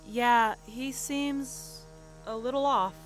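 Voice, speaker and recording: A woman's voice: a little high, slow, soft background music. A woman acting a skeptical, sarcastic police investigator with a wry, questioning manner in an audio drama. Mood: sarcastic, puzzled.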